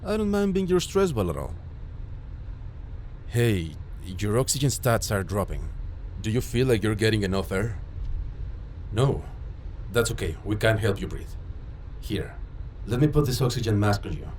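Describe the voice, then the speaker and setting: A man playing a caring, worried ambulance medic who gently reassures an injured patient in an immersive audio roleplay. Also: lowish voice, very animated, normal speed, long pauses, a little hiss or hum. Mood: soothing concern. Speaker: voice actor.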